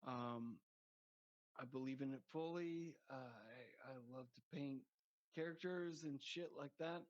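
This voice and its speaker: A man's voice: mid-range voice, a little slow. A man guesting on a podcast, joking crudely and rambling in casual chat. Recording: dull lo-fi sound.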